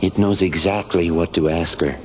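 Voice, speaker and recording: An actor playing a dim, apologetic, deferential robot servant in a science fiction radio drama. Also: male voice, deep voice, fairly even intonation, moderate pace, a little hiss or hum, close to an everyday voice. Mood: eager to please. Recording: loud background music on radio drama.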